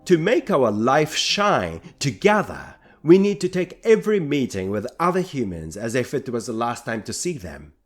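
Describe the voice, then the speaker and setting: Japanese-accented man with lively, swinging pitch. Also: moderate pace, few pauses, soft background music. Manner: earnest, reflective, lively pitch, gentle self-deprecating humour. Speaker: podcast host and rakugo performer. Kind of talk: solo podcast monologue.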